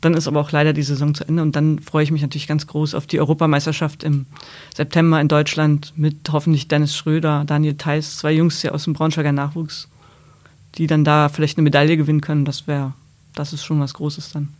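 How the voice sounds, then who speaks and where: A woman's voice: sits low, completely flat delivery, fairly quick, darkish tone. A woman sports journalist answering questions as a guest, thoughtful and hesitant, often restarting her sentences. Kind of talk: podcast interview answers.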